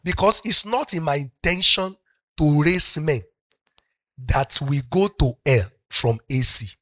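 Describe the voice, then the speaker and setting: A middle-aged Nigerian pastor with a Yoruba-speaking accent preaching a sermon, moving from soft talk up to loud, passionate shouting. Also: male voice, a little high, unhurried, no room sound, studio quality.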